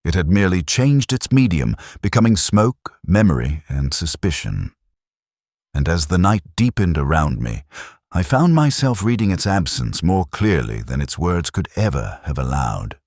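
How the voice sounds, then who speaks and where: Male narrator, extremely low pitch, expressive intonation, formal literary phrasing, scripted detective fiction, dry sound. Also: no echo. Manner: measured, formal, literary delivery.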